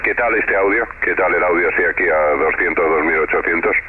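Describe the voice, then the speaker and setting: A man's voice: low voice, brisk. A man with an accent from Spain, chatting casually as an amateur radio operator over the air. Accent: Spanish from Spain. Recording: dull radio audio with clear static.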